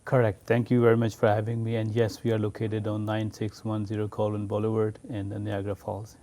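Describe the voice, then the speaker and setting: Low, flat-voiced man. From Pakistan. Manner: patient, explanatory, measured. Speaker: imam. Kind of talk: interview answers.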